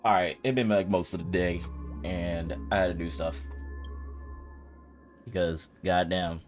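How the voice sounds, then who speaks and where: A man's voice: sits low, fairly even intonation, unhurried, over music. A man giving casual, informal commentary as a game streamer. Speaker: game streamer reacting to a story quest.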